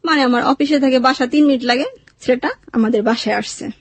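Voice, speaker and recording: A woman's voice: sits high, fast talker, dry recording. A woman with a Bangladeshi accent recounts her own life as an interview guest, in plain colloquial speech, quoting dialogue as she goes. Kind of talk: personal storytelling, recounting with quoted dialogue.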